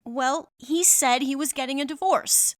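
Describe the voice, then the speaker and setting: A woman's voice: sits high, measured pace, no echo, clean studio sound. A woman playing a young chorus girl under questioning in a radio drama, guarded with a frightened core. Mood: anxious, defensive.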